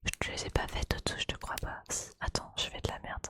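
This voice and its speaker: A woman speaks entirely in a soft, unvoiced whisper. She chats informally and playfully, like an ASMR streamer talking to viewers. The voice is breathy and close to the microphone. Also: a little fast.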